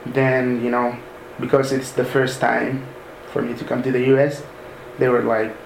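A man in his thirties from Sudan, softly recalling his move abroad in an unhurried way with many pauses. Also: medium pitch, monotone, vocal fry. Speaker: interviewee. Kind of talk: casual personal recollection. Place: room in a mosque. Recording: echoey room with ambient noise.

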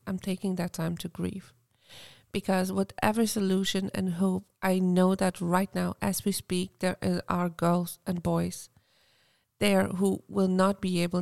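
Gravelly-voiced woman, soft and reflective. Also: lowish voice, no room sound, clean studio sound. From Afghanistan. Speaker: podcast co-host. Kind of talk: casual podcast conversation.

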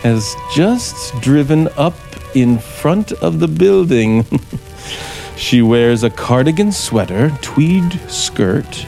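A man's voice: medium pitch, mellow, low-quality recording. An actor playing an embittered scriptwriter in a 1950s radio thriller, theatrical and mockingly polite as he coolly plots murder. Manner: theatrical, deliberate, mockingly polite, narrating his own actions. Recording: vintage 1950s radio broadcast with music.

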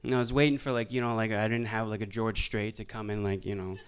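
A young man from Alberta, doing stand-up storytelling on a stage microphone in a deadpan, halting, self-deprecating way. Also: lowish voice. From Alberta, Canada. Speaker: stand-up comedian. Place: live venue stage before an audience.

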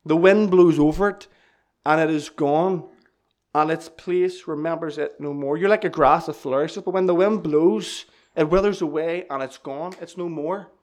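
Earnest young preacher. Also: male voice, a little high, moderate pace. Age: 20s. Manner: earnest, repeats key lines for emphasis. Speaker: lay preacher giving testimony. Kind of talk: church testimony and sermon.

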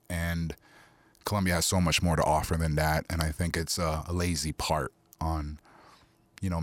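A deep-voiced man from Queens whose first language is Spanish speaks as a musician guest. He talks in a flat, even tone and keeps stopping to fill gaps with "um" and "you know." The sound is dry and close. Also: dry recording. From Queens, New York City, US. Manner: relaxed, halting, full of fillers like "you know" and "um". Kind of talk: casual interview talk.

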